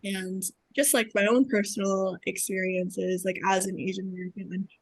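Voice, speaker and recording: A woman's voice: mid-range voice, level tone, normal speed, rough recording. A young woman from Boston describing her research on a video-call panel, hesitant with many ums and likes. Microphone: video call with dropping audio.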